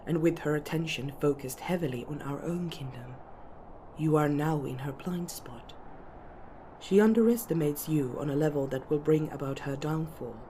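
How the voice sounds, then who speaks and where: A woman playing a composed, strategic elven noblewoman, speaking in formal, measured counsel for an ASMR fantasy roleplay. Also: low-pitched, dark tone, slight background noise, natural voice.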